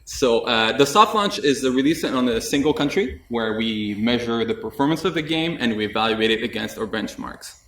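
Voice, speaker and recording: A young man giving a technical conference talk, relaxed and chatty, with light jokes and frequent "uh" fillers. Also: medium pitch, normal speed, hardly any pauses, a little hiss or hum. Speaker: conference presenter. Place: conference room with audience, indoors. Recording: echoey room, mic at a distance.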